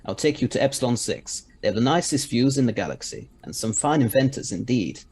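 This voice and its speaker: A man's voice: mid-range voice, very animated, fairly quick, darkish tone, husky. A man playing an eccentric, confident scientist time traveller in an audio drama, speaking articulately with a mildly superior air. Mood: confident, explanatory.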